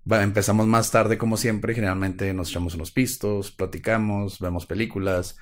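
A man's voice: fairly low pitch, steady tone, fairly quick. A man co-hosting a live stream, cracking wry jokes and narrating a true-crime case. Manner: wry, jokey asides, slightly dramatic in the telling. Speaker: podcast co-host.

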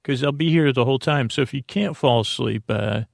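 A man hosting a sleep podcast, talking in a slow, meandering, soothing monologue that often loses its thread, with a creaky voice. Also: medium pitch, no room sound, clean studio sound. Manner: meandering, self-interrupting, gently soothing. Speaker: podcast host. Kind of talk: slow rambling bedtime monologue.

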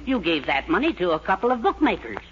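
A man's voice: high-pitched, big pitch swings, fast, fairly bright tone. An actor playing a fussy, exasperated older man in a 1940s radio comedy, exclaiming and explaining with dismay. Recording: muffled old radio, studio audience, noise.

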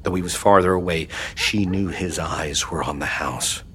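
An actor playing the ominous narrator of a supernatural horror audio drama, speaking in a measured, suspenseful, hushed way. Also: male voice, sits low. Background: music.

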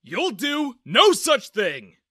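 A man's voice: high-pitched, sing-song, moderate pace, pushed and bright, no room sound, clean studio sound, pitched above the performer's own voice, full character voice. A man voicing a stern, worried father scolding his son in a narrated story. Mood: stern, exasperated.

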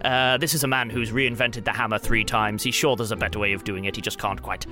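A man's voice: fairly low pitch, steady tone, fast, sharp tone, faint music behind. A man chatting casually as a player at a tabletop game, with a hesitant, playful manner. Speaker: player and podcast company founder.

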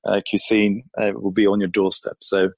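A man's voice: fairly low pitch, level tone, moderate pace, gravelly, breathy edge, no room sound. A man from Sweden, a consultant giving friendly, thoughtful interview answers with frequent ums and restarts. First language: Swedish. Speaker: guest, employer brand consultant.

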